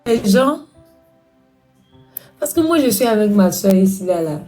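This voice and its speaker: A woman's voice: mid-range voice, sing-song, measured pace, music in the background. A woman hosting a casual live stream, stuffy-nosed from a cold, chatty and teasing as she asks viewers for gifts.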